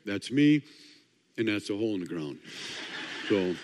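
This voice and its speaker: A hoarse older man from Wisconsin preaching fast, with a dry, joking delivery. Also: on the low side, sing-song, darkish tone. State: hoarse, partly lost voice. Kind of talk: fast-paced sermon talk. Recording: long hall reverb.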